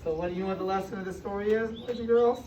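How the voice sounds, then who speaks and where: An American woman reads a picture book aloud to young children, pausing to explain and ask questions, with emphatic stretched words. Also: mid-range voice, steady tone. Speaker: school superintendent reading aloud to children. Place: indoor room with children present. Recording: distant, echoey, muffled room recording with noise.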